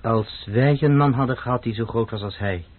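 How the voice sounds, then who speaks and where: An actor playing a reserved, thoughtful foreign visitor who speaks formally and politely in a radio play. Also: male voice, medium pitch, moderate pace, lightly acted. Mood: grave, courteous. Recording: dull lo-fi old radio play recording.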